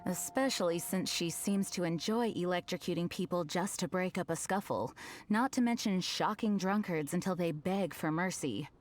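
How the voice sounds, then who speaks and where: A woman's voice: fairly low pitch, moderate pace, lightly acted. A woman playing an earnest, stern young knight leader in a video game, formal, composed and firm.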